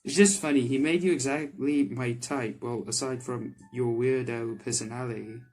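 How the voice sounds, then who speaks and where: A man voicing a wry, grumpy but softening friend in a video game, dry and casual, with music behind. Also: mid-range voice, fairly even intonation, normal speed, natural voice. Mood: amused, softening. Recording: background music under the voice.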